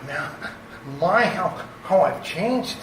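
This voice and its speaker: Man acting a high-pitched nervous sidekick, slow, halting. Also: lively intonation. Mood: nervous, placating. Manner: halting, stammering, fawning. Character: nervous, giggly, timid villain's doctor sidekick. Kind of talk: radio theater acting. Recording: echoey, distant, faint noise.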